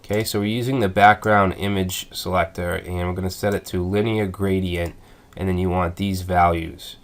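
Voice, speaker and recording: A man with a stuffed-up voice from a cold, calmly explaining web code step by step in a screencast tutorial. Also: deep voice, normal speed. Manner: methodical, thinking aloud with fillers. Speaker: coding tutorial instructor.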